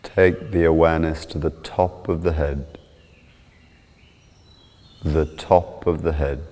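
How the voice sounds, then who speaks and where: Very deep, slow man guiding meditation. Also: long reverb tail. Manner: calm, soothing, measured with long pauses. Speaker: yoga and meditation teacher. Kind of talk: guided meditation instruction.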